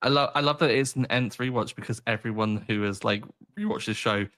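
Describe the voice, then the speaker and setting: A man's voice: mid-range voice, a little fast, fairly bright tone. A man co-hosting a podcast in casual chat, easygoing and laughing along. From outside the United States. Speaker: podcast co-host.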